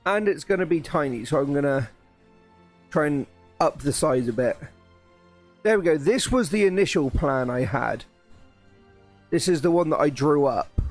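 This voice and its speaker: Croaky-voiced man streaming a build. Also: a little high, normal speed, long pauses, faint music behind. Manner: rambling, restarts, excited. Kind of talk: casual live-stream chat while building.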